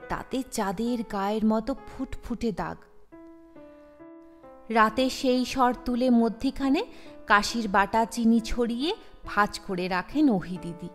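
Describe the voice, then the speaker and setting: Woman, audio story narrator, higher-pitched voice, scripted reading, reverberant sound, background music. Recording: long reverb over the voice.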